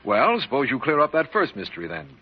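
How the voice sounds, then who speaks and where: An actor playing a businesslike, plain-spoken official who questions briskly, in 1940s radio drama style. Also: male voice, on the high side, very animated, creaky, breathy edge, full character voice. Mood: probing. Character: businesslike, questioning male official, detective's friendly colleague. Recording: dull vintage radio recording.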